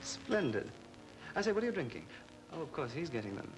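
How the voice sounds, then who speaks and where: Jaunty ex-army captain, upper-class English accent, lightly high voice, very lively intonation, flirtatious, vintage film sound. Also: male voice, fairly quick, low-quality recording. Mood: hearty. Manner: jaunty, suave, plummy. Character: breezy, flirtatious, hard-drinking ex-army captain, charming talker. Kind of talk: 1940s British film acting. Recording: old film soundtrack, music behind.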